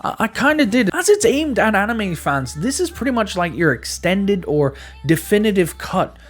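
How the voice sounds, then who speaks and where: A man's voice: high-pitched, very animated, normal speed, soft background music. A man giving a casual, conversational video game review, speaking emphatically and often stammering or repeating his words.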